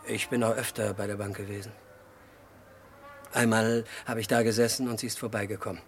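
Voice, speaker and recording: An actor in a German radio crime play, playing an unhinged, childlike murderer who confesses in halting, disjointed sentences. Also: male voice, on the low side, flat delivery, slight background noise, natural voice. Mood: subdued, wistful. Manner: halting, disjointed short sentences, swinging from hushed to agitated outbursts. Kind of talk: mid-century German radio crime drama acting.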